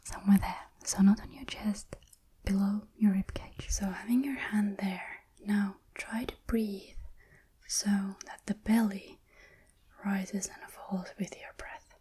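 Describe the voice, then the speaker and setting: Calm female breathing instructor, whispered delivery, slow counts, mellow and soft. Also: mid-range voice. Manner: calm, counts slowly aloud. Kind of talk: whispered guided breathing lesson.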